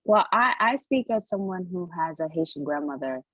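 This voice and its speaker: Husky young woman, earnest podcast talk. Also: lowish voice, expressive, moderate pace, a bit bright, dry recording. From United States. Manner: earnest, repeats words while finding her point. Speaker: podcast co-host. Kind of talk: casual discussion.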